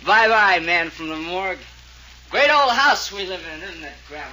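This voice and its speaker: Mocking young drunk, high swooping voice. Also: male voice, lively intonation, normal speed, bright, forceful tone, full character voice. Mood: bitter, taunting. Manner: sardonic, taunting, theatrical. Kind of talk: 1940s radio serial acting. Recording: noisy old radio serial recording.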